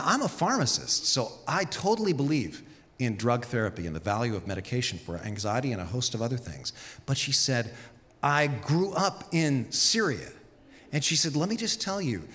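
A man in his fifties preaching a sermon on a church stage with a handheld mic, telling stories and acting out quoted voices. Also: mid-range voice. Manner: storytelling, animated, acts out quotes. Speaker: pastor. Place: large church stage with audience. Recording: long reverb of a big hall.

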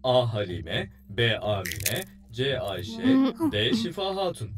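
Lively cartoon quiz show host. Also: male voice, fairly low pitch, slow pace, heavily acted. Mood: presenting. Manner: theatrical, suspense-building announcer delivery.

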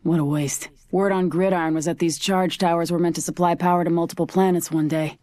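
An actress playing a stern, bitter soldier in a shooter game, speaking tersely and matter-of-factly. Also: female voice, fairly low pitch, fairly even intonation, a little fast, a little mellow, no echo, studio quality, lightly acted. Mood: grim regret. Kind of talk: video game voice line.

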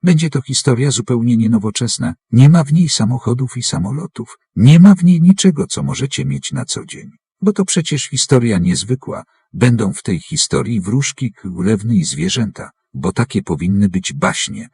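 A man with a raspy voice reading a scripted, earnest and expressive prologue addressed to children. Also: medium pitch, moderate pace, few pauses. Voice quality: very husky, raspy. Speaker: narrator of an author's prologue.

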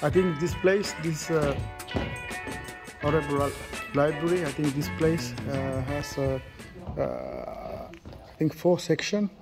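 A man speaking halting non-native English, searching for words as he co-hosts a casual vlog. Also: fairly high pitch, sing-song, vocal fry, over loud music. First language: not English. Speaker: vlog co-host.

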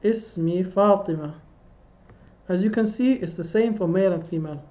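A man's voice: high-pitched, a little fast, dark tone. A man teaching an online language lesson, patiently repeating phrases for students to echo, recorded through a webcam on a video call. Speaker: Arabic teacher. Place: indoor room.

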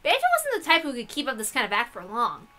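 A woman host reading a visual novel aloud, mixing dramatic narration with excitable, irreverent, sweary asides. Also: on the high side, fast, faint music behind.

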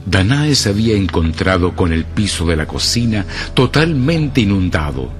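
A Chilean man playing the ominous, measured, dramatic storyteller of a radio mystery drama. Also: sits low, sing-song. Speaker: narrator. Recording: music behind the voice.

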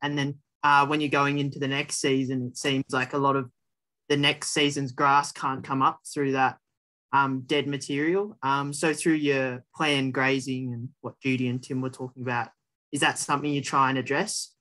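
A man's voice: medium pitch, little pitch movement, moderate pace, sharp tone. A young man in his twenties asking a panel a question on a video call, easygoing and thoughtful. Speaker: audience member asking a question. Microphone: video call webcam.

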